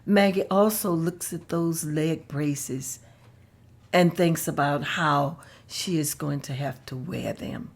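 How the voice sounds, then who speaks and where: A woman narrates the stage directions of a table read, plainly and measuredly. She speaks slowly in a somewhat low voice.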